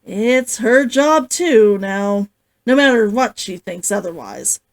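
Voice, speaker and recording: Woman voicing a firm, practical huntress, lively pitch. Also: takes it slowly. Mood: insistent. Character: dutiful, firm, practical adult woman monster hunter.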